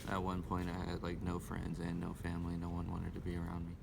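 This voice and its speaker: Very deep gravelly monotone young man. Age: 20s. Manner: halting, with uh fillers. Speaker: interviewee. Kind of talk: documentary interview. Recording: loud background noise.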